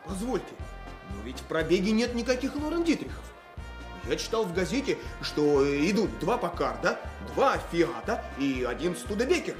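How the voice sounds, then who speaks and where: An eager, nosy small-town car fan in a radio drama. His high voice is lively and pestering, full of questions, in an echoey space. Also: normal speed, over music. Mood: doubtful. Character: nosy, starry-eyed provincial male car enthusiast. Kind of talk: Soviet radio play acting.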